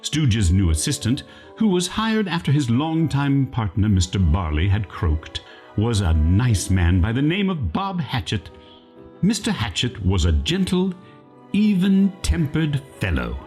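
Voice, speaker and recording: A man's voice: mid-range voice, wildly swooping pitch, unhurried, a little mellow. A man playing a grandiose, mock-solemn narrator, announcing and telling a parody radio play tale. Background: music.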